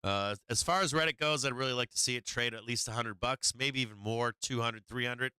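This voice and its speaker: Man, livestream market host, hoarse, rambling and profane, bright tone, dry close sound. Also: mid-range voice, brisk, no echo. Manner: rambling, profane, with many fillers and asides. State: losing his voice. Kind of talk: casual market commentary over charts.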